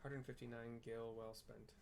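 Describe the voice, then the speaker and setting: A man's voice: mid-range voice, level tone, normal speed, vocal fry, a little mellow. A man with a mid-range voice gives casual video game commentary. He is chatty and descriptive, dropping in playful asides. Game ambience and some noise sit behind him. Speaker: gaming video narrator.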